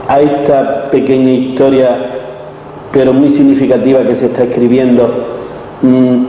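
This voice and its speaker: A man with an accent from Spain speaking formally and deliberately as an interviewer, with rhetorical phrasing, in a muffled recording. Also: mid-range voice. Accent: Spanish from Spain. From Cañada, Spain. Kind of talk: prepared speech and formal interview questions. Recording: muffled, very echoey hall with noise.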